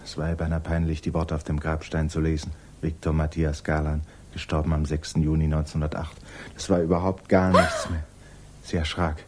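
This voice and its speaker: An actor playing a grave, detached storyteller, narrating a 1950s German radio drama. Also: male voice, a bass voice, little pitch movement, fairly quick. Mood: grave, subdued. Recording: hissy 1950s radio recording.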